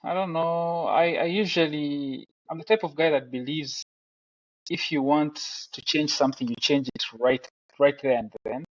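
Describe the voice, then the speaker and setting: A young man from Uganda, a film director, talking casually and haltingly with many restarts on a headset during a video call. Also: on the high side, no room sound. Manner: relaxed, halting, self-deprecating with restarts. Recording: dry, dull lo-fi call audio.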